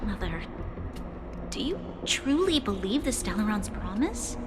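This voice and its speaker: A woman's voice: mid-range voice, very animated, unhurried, noisy background. A woman voicing an earnest, troubled young daughter in a video game. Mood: troubled, questioning.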